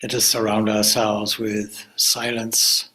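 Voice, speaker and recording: A man leading a guided meditation as a spiritual teacher, speaking calmly and deliberately in short phrases with pauses. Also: on the low side.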